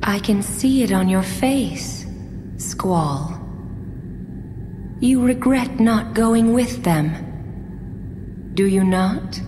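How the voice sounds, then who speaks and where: Very slow, serene goddess. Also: female voice, mid-range voice, animated. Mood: serene, knowing. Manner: measured, formal. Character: serene, knowing goddess, gentle and regal. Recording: long hall reverb, noisy ambience.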